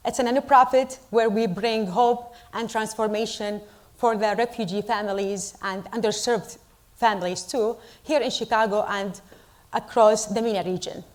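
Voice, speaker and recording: A woman's voice: mid-range voice, steady tone. Earnest Iraqi-born woman giving a speech. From Iraq. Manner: earnest, deliberate, with pauses for emphasis. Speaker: storyteller at a community event. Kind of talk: speech to a live audience.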